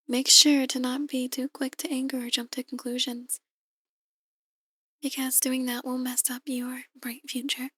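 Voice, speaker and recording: A woman playing a soft-spoken, ominous demon tarot reader in an ASMR roleplay, speaking in a measured, breathy near-whisper. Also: high-pitched, no echo, clean studio sound, exaggerated voice. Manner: slow, measured, gently ominous. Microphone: close, dry microphone.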